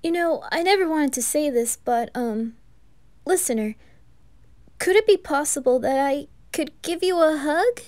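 A woman voicing a sweet, soft-hearted young boy adventurer, earnest and pleading, in a fan roleplay drama. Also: high-pitched, lively intonation, unhurried, pitched above the performer's own voice.

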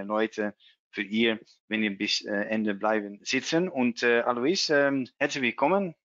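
A man with a Dutch accent, presenting a trading webinar in German as a non-native speaker, halting and searching for words with many fillers, over a video call. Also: fairly low pitch, fairly bright tone, dry recording. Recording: dull lo-fi video call audio.

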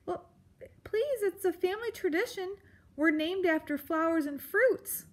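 A woman's voice: sits high, animated, slow pace, pitched above the performer's own voice. A woman voicing a small, polite young girl mouse in a children's storybook, meek and hesitant. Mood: nervous, pleading.

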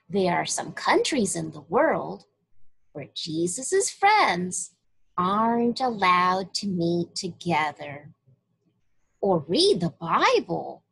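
A woman reading a story aloud to young children in a deliberate, expressive way, addressing them directly. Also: mid-range voice, creaky, slightly rough, poor audio. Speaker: children's story reader at a church.

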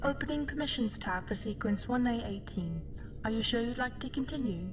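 A woman voices a ship's computer in a sci-fi drama, calm and formal. Her announcements are measured and procedural, pitched a little high, over clear background ambience. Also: very noisy, lightly acted. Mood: neutral, formal. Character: calm, formal female space station computer AI. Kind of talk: scripted system announcements in sci-fi audio drama.

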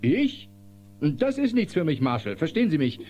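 A man's voice: a little high, sing-song, normal speed, soft background music. A man playing a cowardly frontier townsman with a whining, defensive manner in a children's radio play. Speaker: actor in audio drama. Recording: dull, noisy old cassette.

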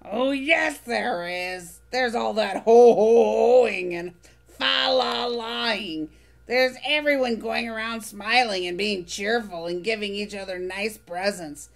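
Grumpy trash can monster guy, woman doing the voice, slow and sour. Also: a little high, creaky. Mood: grouchy. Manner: grumbling, sour. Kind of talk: storybook reading.

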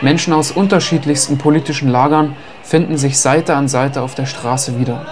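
Male narrator, mid pitch, measured read, noise under voice. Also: fairly even intonation. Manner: measured documentary reading. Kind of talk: scripted voice-over narration.

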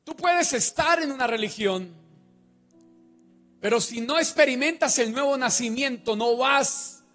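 High-voiced preacher, emphatic. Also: male voice, fairly bright tone. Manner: emphatic, fond of rhetorical questions to the congregation. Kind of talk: sermon. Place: large church stage with a band set-up. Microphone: handheld mic. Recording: reverberant church hall.

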